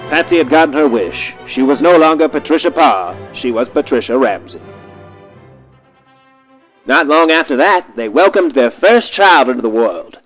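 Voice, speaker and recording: A man's voice: mid-range voice, animated, normal speed, fairly bright tone. A man playing a mock-solemn, wryly snide storybook narrator in a comedy radio play. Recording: dull lo-fi radio sound with music.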